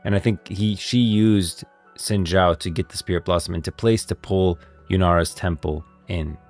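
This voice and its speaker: A man's voice: low voice, steady tone, normal speed, a little mellow, faint music behind. A man hosting a stream of game lore commentary, moving between casual talk and scripted reading. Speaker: streamer, host.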